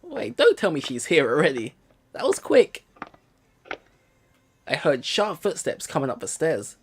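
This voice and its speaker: Lively man reading out a game. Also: on the high side, measured pace, a little hiss or hum. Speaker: let's play host. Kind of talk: reading game narration aloud with casual commentary. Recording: game music behind the voice.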